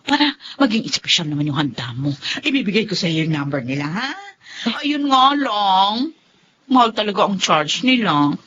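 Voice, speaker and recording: A woman's voice: medium pitch, very animated, normal speed, a bit bright. A woman with a Filipino accent playing a vain, boastful, condescending rich wife in a radio drama. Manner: showy, condescending. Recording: dull lo-fi audio with faint noise.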